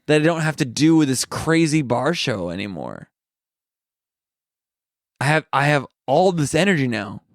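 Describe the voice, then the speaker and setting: A young man on a podcast, casually telling a story in an animated way and quoting what others said. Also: mid-range voice, normal speed, pauses often, dry recording, clean studio sound. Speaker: podcast co-host, comedian. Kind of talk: casual chat.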